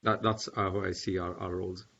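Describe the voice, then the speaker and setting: A man's voice: sits low, flat delivery, fairly quick, gravelly, fairly bright tone. A man speaking on a panel, hesitant, with many fillers and restarts. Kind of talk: panel discussion. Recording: dull lo-fi audio.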